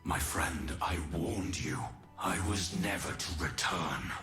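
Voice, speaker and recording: A man's voice: very deep voice, slow pace, pushed and bright, roomy sound, faint music behind, exaggerated voice. A male actor playing a noble, solemn ancient warrior god in a video game, speaking in formal, declamatory phrases. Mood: solemn, sorrowful. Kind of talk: scripted video game voice lines.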